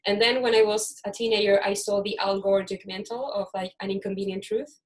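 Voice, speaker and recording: A woman's voice: medium pitch, little pitch movement, fairly quick, poor audio. Brief: woman from Chile, host of a casual video-call panel, chatty, mid-range voice, restarts sentences. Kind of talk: casual online panel chat.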